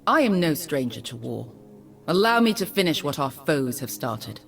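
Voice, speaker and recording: An actress voicing a regal, composed mage-politician from a noble family in a video game, with formal, measured, haughty speech. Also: female voice, low voice, very animated, vocal fry, faint music behind, full character voice. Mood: proud resolve. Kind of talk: scripted video game voice lines.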